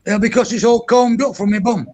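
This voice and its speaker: A man's voice: very high voice, steady tone, a little fast, a little mellow. A man on a live stream panel, joking back at the host with playful mock threats. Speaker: panel guest. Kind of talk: casual live stream banter.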